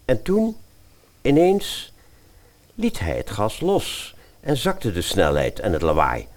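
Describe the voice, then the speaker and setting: A man narrating a scripted story in an expressive storytelling style. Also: fairly low pitch, slow.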